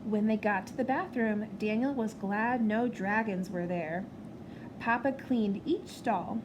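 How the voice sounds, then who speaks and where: A woman reading a picture book aloud to children in an expressive storytelling style. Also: mid-range voice, measured pace. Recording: clear background noise.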